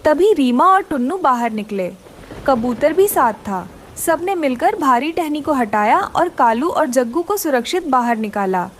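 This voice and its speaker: A woman's voice: high-pitched, big pitch swings, a little slow, a little hiss or hum. A woman reading scripted moral-story narration for a children's cartoon.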